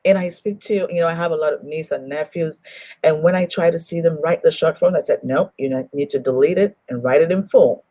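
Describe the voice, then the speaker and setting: A woman's voice: low voice, fairly quick, mellow, dry recording. A woman hosting a live online talk show, greeting viewers by name and speaking effusively. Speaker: host.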